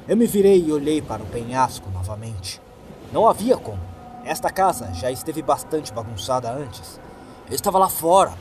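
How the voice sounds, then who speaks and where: A man with a Brazilian accent narrates a creepy first-person tale over clearly audible music. His voice sits in a medium register. He phrases things deliberately and dramatically to build suspense. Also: medium pitch. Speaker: horror story narrator. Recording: music behind the voice. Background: background music.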